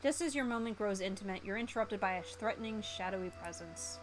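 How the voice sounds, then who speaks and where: A woman streamer reading a visual novel aloud in a theatrical, expressive way. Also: medium pitch, fast, a little hiss or hum.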